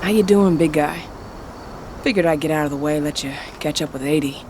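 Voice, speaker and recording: An actress playing a frank, supportive activist comrade and old friend, casual and blunt, in a video game cutscene. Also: female voice, low-pitched, brisk, close to an everyday voice. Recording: clear ambient noise behind the voice.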